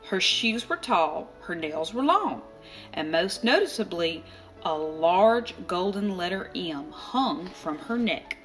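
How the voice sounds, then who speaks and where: A woman narrating a children's storybook aloud, expressively and deliberately, with dramatic pauses. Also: on the low side, expressive, fairly bright tone. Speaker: storybook reader. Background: music.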